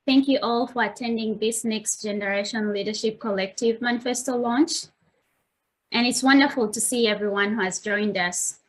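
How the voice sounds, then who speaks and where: A woman's voice: a little high, normal speed, rough recording. A woman hosting and opening a formal online launch event with a welcome speech. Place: online video meeting.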